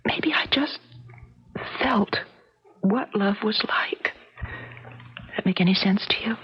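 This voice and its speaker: A woman's voice: medium pitch, slow pace, breathy edge, in a whisper. An actress playing an anxious, tender woman traveler newly in love, in the style of 1970s radio drama. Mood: wondering, tender. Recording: dull 1970s radio broadcast recording.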